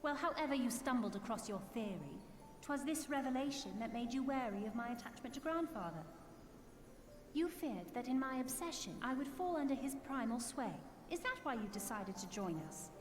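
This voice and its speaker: A woman's voice: a little high, expressive, moderate pace, lightly acted. An actress playing an earnest, resolute, proud young noblewoman with formal, archaic diction in a fantasy video game. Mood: accusing. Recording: echoey game audio under loud music.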